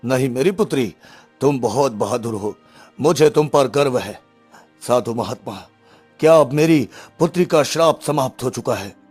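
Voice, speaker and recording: A man voicing a proud, tender middle-aged king in a cartoon story, gentle and formal. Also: medium pitch, animated, normal speed, breathy edge, faint music behind. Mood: proud tenderness.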